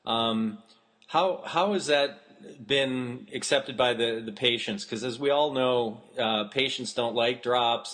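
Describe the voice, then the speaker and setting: A man's voice: medium pitch, fairly bright tone. A man moderating a professional conference call, summing up points and putting questions to the speakers.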